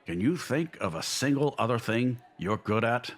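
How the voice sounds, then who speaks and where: A man voicing an earnest, quietly determined older newspaperman in a comic dub, husky and measured. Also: medium pitch, expressive, lightly acted. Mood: resolute.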